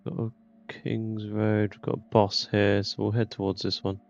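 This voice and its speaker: A man's voice: lowish voice, monotone, moderate pace, creaky, lo-fi sound, soft background music. A man giving casual, colloquial gameplay commentary, thinking aloud as he goes. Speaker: gaming YouTuber.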